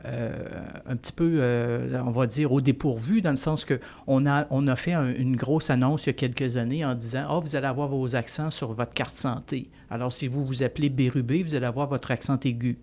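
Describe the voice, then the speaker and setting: A man's voice: mid-range voice, steady tone, a little fast, few pauses, a little mellow. A man, a podcast guest earnestly explaining at length and restarting his phrases often. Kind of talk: podcast panel discussion.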